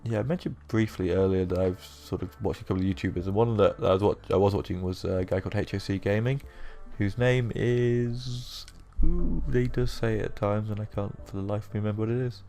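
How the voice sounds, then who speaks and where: A young man with a sore, croaky throat, rambling casually through let's-play commentary with lots of fillers. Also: fairly low pitch, brisk, few pauses, mellow, soft background music. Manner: rambling, self-deprecating, with many fillers. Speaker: gaming YouTuber.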